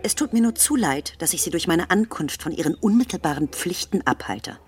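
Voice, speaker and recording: Woman acting a proud wife, low voice. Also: expressive, a little fast, a little mellow, lightly acted. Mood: offended, haughty. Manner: formal, pointed, offended. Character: proud, wounded young wife, sharp-tongued and haughty. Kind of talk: radio drama acting.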